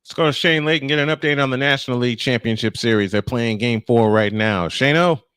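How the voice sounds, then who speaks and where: A man's voice: medium pitch, brisk, a bit bright, smooth, no room sound. A man hosting a live baseball broadcast as play-by-play announcer, talking to listeners in a folksy, direct way. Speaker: play-by-play sports broadcaster and host. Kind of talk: live baseball commentary and sign-off.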